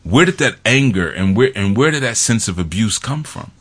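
A man's voice: on the low side, expressive, normal speed, fairly bright tone. A man hosting casual film commentary, rambling and digressive with lots of fillers.

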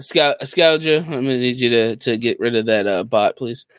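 A man's voice: mid-range voice, a bit bright, no room sound. Guy hosting a podcast, mid voice, slangy and jokey, super dry sound. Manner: slangy, jokey, quick to laugh. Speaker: podcast host. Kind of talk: casual podcast chat.

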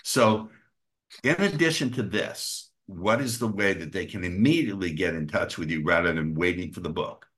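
A man's voice: low voice, expressive, normal speed, fairly bright tone. A man hosting a podcast interview, chiming in with affirmations and steering toward the wrap-up. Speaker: podcast host.

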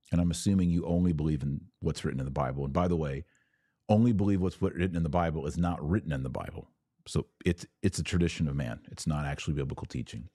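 A man with a very low, mellow voice, recorded close and dry. He speaks as a religious podcast host addressing his audience directly, making his case in emphatic, clipped statements with an argumentative edge. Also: no echo. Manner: emphatic, argumentative, speaks in short declarative phrases. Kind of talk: live apologetics talk answering viewer comments.

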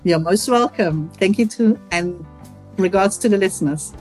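A woman's voice: medium pitch, normal speed, a little mellow, slightly rough, soft background music, low-quality recording. A middle-aged woman with a Dutch accent, an engineering professor answering interview questions earnestly and reflectively, with many "you know" fillers. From Netherlands.

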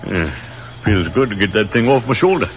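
An actor playing a friendly, modest American infantry captain with an American accent, speaking in an easygoing, folksy way, in a 1940s radio drama. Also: male voice, on the low side, lively intonation, normal speed, close to an everyday voice. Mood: polite. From Chicago, USA. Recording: dull old radio broadcast recording with faint hiss.

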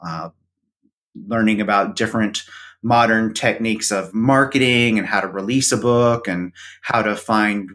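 Slow, hesitant man with a low voice. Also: a bit bright. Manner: hesitant, thoughtful, many "um" pauses. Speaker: podcast guest. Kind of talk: casual interview chat.

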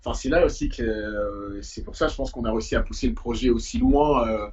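A man's voice: medium pitch, a little fast, husky, muffled recording. A young man from Paris, speaking as an interviewed musician in an informal, slangy, hesitant way. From Paris, France. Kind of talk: casual conversational interview.

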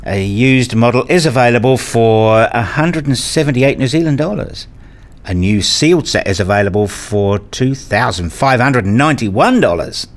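A man acting as a brooding husband and father in a radio play. He is pedantic and fixed on rules, with a middling pitch and an introspective way of telling his own story. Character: brooding, rule-obsessed husband and father narrating his life. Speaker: narrator and lead character. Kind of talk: first-person radio play narration and dialogue.

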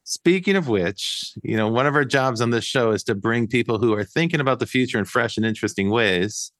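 Male podcast host, friendly and upbeat, mid-pitched, dry close sound. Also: lively intonation, brisk, fairly bright tone, no room sound. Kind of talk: casual podcast interview.

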